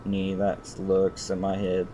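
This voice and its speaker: A man's voice: low-pitched, takes it slowly. Irritable, sweary male game streamer, noisy background. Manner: irritated, profane ranting. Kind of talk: livestream playthrough, reading visual novel text aloud with commentary. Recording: steady background noise.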